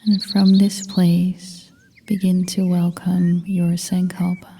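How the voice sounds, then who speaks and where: A woman guiding a meditation as a yoga nidra instructor, speaking in a soft, breathy half-whisper with a calm, gentle, soothing manner. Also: fairly low pitch, slow. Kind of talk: guided meditation narration. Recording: long reverb, faint noise with birdsong.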